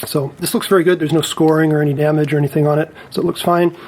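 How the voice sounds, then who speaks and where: Higher-pitched man explaining a hands-on repair. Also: flat delivery, quick pace, a little mellow, slight background noise, low-quality recording. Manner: methodical, explanatory, careful. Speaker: repair technician presenting a tutorial. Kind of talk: casual step-by-step repair demonstration.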